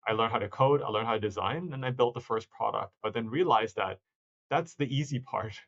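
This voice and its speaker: A man, a startup founder, answering interview questions in a reflective, halting way with frequent restarts. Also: fairly low pitch, creaky, a bit bright, dull audio. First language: not stated. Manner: reflective, many restarts and self-corrections.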